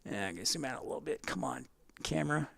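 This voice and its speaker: A man's voice: medium pitch, expressive, quick pace, gravelly, a bit bright, dry recording, studio quality. A man hosting a livestream, casually explaining online tools step by step and chatting directly with viewers in an informal way. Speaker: livestream host teaching plane-spotting tools.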